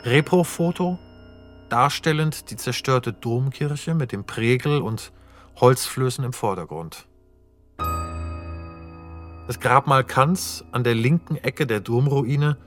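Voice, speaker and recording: A man reads the stage directions of a radio play in a calm, measured manner. His pitch is mid-range, and soft music plays faintly behind him. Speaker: narrator. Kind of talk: scripted narration of stage directions.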